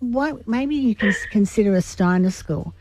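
A middle-aged Australian woman with a croaky voice, giving emphatic advice to callers as a psychic on live radio. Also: medium pitch, animated, moderate pace, a little mellow, no echo.

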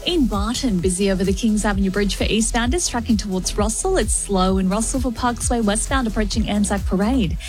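A woman giving a radio traffic report and reading an ad, brisk and informative. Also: mid-range voice, over music.

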